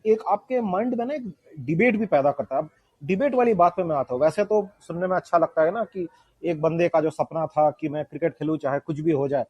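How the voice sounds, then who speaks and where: A man reviews a film conversationally, slipping English phrases into his Hindi. His voice is high for a man and husky, captured dry and close. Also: brisk, no echo, lo-fi sound.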